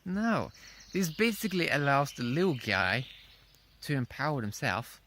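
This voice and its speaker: A man giving a casual, unscripted opinion monologue in a rambling, halting way, often repeating his phrases. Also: on the high side, big pitch swings, a bit bright, a little hiss or hum. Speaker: vlogger.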